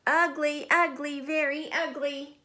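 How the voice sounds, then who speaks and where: A woman voicing jeering schoolchildren who mock a classmate in a storybook, with taunting, drawn-out emphasis. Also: high voice, fairly even intonation, sharp tone, pitched above the performer's own voice, full character voice.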